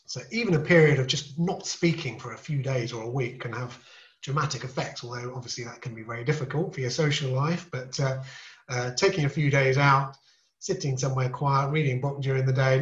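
A man who is an ear, nose and throat specialist, explaining throat problems in a measured, reassuring way on a podcast. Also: medium pitch, echoey room, lo-fi sound. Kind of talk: podcast interview, explaining medical topics.

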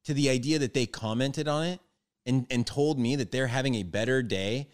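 A man chatting casually as a podcast guest, halting and repeating his words as he thinks. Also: mid-range voice, dry recording, clean studio sound. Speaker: guest, house painter.

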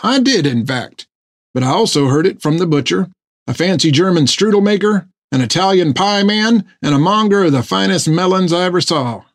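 A man voicing an excitable, food-loving male sailor who talks in a folksy, rambling way. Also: high voice, moderate pace, no echo, clean studio sound, voice pushed up. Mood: chatty. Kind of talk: audiobook character voice.

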